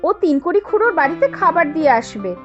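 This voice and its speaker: A woman's voice: extremely high pitch, big pitch swings, fast talker, muffled recording, soft background music. A woman voicing a supportive, confident young village wife in a cartoon, with a sweet, reassuring manner. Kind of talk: Bengali cartoon dubbing.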